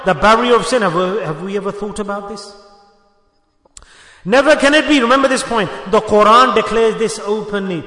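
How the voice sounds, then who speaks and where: High-voiced male preacher in echoing hall. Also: normal speed, pushed and bright. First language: Urdu. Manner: exhorting, rhetorical questions and repetition. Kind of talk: sermon. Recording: echoey hall with long reverb.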